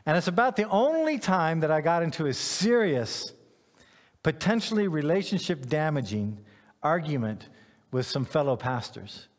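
Higher-voiced man preaching in a reverberant hall. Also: very animated, moderate pace. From Ghana, West Africa. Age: middle-aged. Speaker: pastor. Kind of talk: conversational sermon.

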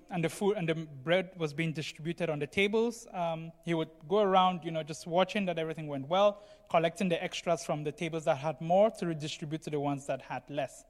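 High-voiced man preaching in echoey hall. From Cameroon. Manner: explanatory, frequently checks in with "right?" and "you know". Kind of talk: sermon, conversational teaching. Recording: long hall reverb.

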